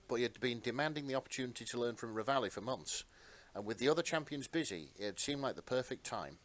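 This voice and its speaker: A man narrating fan fiction as a podcast host, with expressive, sentimental storytelling. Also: medium pitch.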